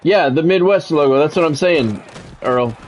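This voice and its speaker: A man's voice: on the high side, expressive, normal speed, a little hiss or hum. A man livestreaming a video game, giving casual commentary and chatting with viewers in a repetitive, explanatory way.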